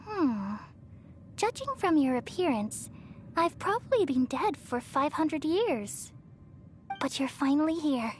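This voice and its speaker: A woman playing a serene, wise goddess in a video game, speaking gently and in a measured, reassuring way. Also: sits high, sing-song, slight background noise, full character voice. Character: serene, wise female deity, remnant consciousness of a dead ruler. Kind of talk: scripted video game voice acting. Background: background music.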